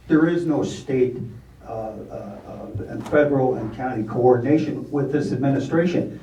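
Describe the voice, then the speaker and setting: An older retired man giving measured, blunt testimony at a county meeting. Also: mid-range voice, dark tone. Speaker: public commenter. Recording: echoey room, distant mic, faint noise.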